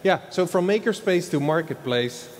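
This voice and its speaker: A man's voice: fairly high pitch, expressive, normal speed. Dutch-accented man speaking in a reverberant hall. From Netherlands. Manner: loose, chatty, tags lines with "huh?". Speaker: marketing executive giving a conference talk. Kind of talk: conference presentation. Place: large old event hall. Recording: long hall reverb, faint background noise.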